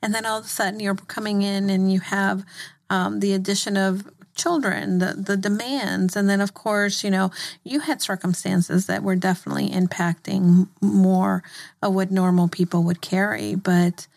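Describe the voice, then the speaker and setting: A woman's voice: mid-range voice, steady tone, normal speed, gravelly, darkish tone. A middle-aged woman hosting an interview, supportive and full of affirming murmurs. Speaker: interviewer host. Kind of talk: casual interview conversation.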